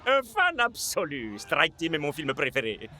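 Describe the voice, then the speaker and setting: A man's voice: fairly high pitch, huge pitch swings, a little slow, a little hiss or hum, heavily acted. A man voicing a theatrical, mocking bandit leader who gloats in a French cartoon dub. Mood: mocking, gleeful. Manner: theatrical, gloating, swinging between shouts and sly asides. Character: flamboyant theatrical desert bandit leader, mocking superfan villain.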